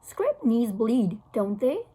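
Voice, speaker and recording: Lady doing an excitable little kid brother in a storybook, high husky voice, blunt and eager. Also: slow pace, heavily acted. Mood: earnest. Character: excitable, candid little boy, younger brother.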